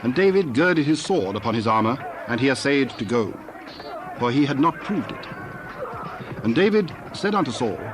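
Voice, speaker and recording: A man's voice: mid-range voice, very animated, normal speed. A man reading scripted scripture narration as the narrator of a dramatization. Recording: noisy background ambience.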